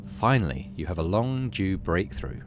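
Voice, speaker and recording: A low-voiced man hosts a video game playthrough. His intonation is lively as he moves between casual commentary and reading story text aloud, with music clearly playing behind him. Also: moderate pace. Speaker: gaming channel host.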